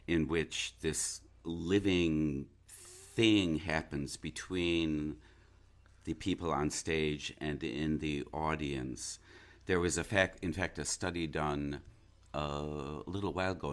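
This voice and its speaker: A man speaking reflectively as an interview guest, thoughtful and halting as he searches for his words. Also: rumbling low, a bit bright. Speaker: interview guest, stage actor.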